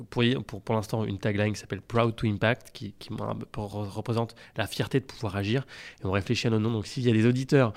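An earnest man at a middle pitch, speaking as a guest on a podcast. He talks in an eager, unscripted way and often restarts his phrases. The tone is casual but committed. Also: a little mellow. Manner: earnest, many restarts and repeated words. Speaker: podcast guest, company founder.

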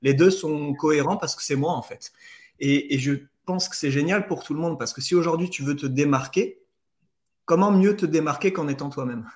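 A man speaking as a guest on a live talk, relaxed and casual, often repeating words as he goes. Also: a little high, normal speed. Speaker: guest storyteller.

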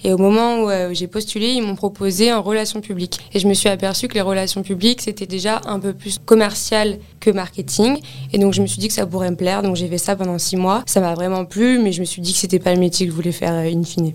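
A woman's voice: medium pitch, fast talker. A woman in her twenties from Paris, talking easily about her career in a podcast interview, with frequent hesitation fillers. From Paris, France. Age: 20s. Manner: relaxed, conversational, frequent "euh" fillers. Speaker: office real estate consultant, guest.

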